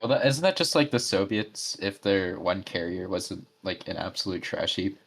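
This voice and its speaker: A man's voice: low-pitched, moderate pace, vocal fry, a bit bright, breathy edge. A man chatting about a game with friends, making wry comparisons. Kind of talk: casual gaming chat.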